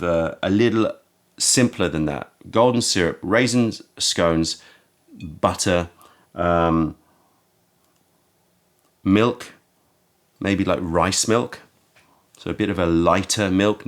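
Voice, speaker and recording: A man hosting a casual tea tasting, his voice croaky from having just woken up. He talks slowly and musingly, often stopping to search for the right word. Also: sits low. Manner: slow, musing, searching for words. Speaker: tea shop host.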